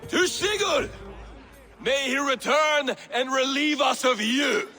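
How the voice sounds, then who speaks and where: A resentful Viking warrior from a game. His voice is raised very high and comes slowly, full of scorn and defiance. Music plays behind. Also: expressive, bright, forceful tone, exaggerated voice. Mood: scornful. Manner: accusing, scornful. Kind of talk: video game cutscene acting.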